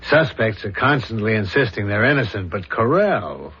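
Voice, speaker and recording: An actor playing an earnest, dogged homicide inspector in a 1970s radio drama, serious, probing and deliberate, on a dull old recording. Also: male voice, on the low side, lively intonation, a bit bright, slightly rough, heavily acted. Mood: pondering, determined.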